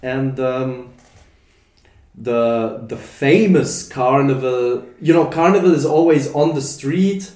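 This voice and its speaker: Young man, from Germany, mellow dark tone, halting with fillers, casual chat, roomy echo. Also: medium pitch. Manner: hesitant, many fillers and restarts. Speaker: podcast guest.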